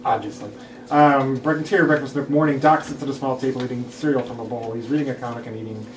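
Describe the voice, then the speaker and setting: A man's voice: medium pitch, fairly even intonation, quick pace. A man reading stage directions as narrator at a table read of a script. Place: room at a group table read. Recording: echoey room, distant mic, hiss.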